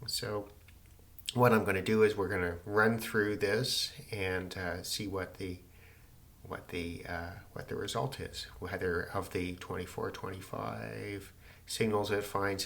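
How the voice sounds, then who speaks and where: A man's voice: sits low, level tone, measured pace, gravelly, a bit bright, a little hiss or hum. A man giving a casual, unscripted demonstration, matter-of-fact and thinking aloud as he goes. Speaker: radio hobbyist demonstrating a receiver. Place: indoors at home in a city.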